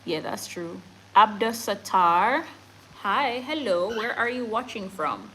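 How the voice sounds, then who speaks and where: A woman's voice: medium pitch, takes it slowly, a little hiss or hum, rough recording. Emphatic Jamaican woman livestreaming. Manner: animated, emphatic, laughs often, mixes patois. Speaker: livestream news host. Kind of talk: casual livestream chat reading comments and news.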